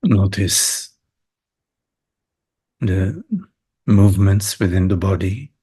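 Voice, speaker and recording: A low-pitched man leads a guided meditation. He speaks very slowly, in short phrases with long pauses between them. His voice has a husky, breathy grain. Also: warm tone. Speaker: meditation teacher.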